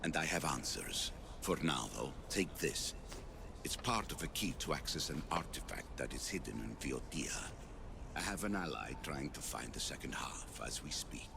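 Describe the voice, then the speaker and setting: An actor playing an ancient, stern and secretive father figure in a video game, solemn and measured, with a gravelly, breathy voice. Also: a bass voice, lively intonation, noisy background, heavily acted. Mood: detached, stern. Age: older. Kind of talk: video game cutscene acting. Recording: music behind the voice.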